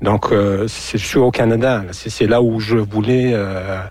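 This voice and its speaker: A man's voice: on the low side, steady tone, a little slow, a little mellow, dry recording. A man from Algeria, a radio journalist, speaking as a guest in a radio interview and hesitating with many fillers and repeated words.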